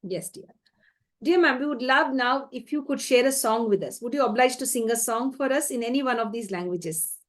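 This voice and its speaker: A woman's voice: on the high side, normal speed. A woman hosting an online interview, polite and formal, addressing her guest as dear and ma'am. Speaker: interviewer, host.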